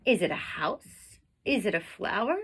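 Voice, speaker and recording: A woman's voice: medium pitch, expressive, measured pace, a bit bright, breathy edge. A woman voicing puzzled, disapproving grown-ups in a storybook, with pointed, exaggerated questioning. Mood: doubting.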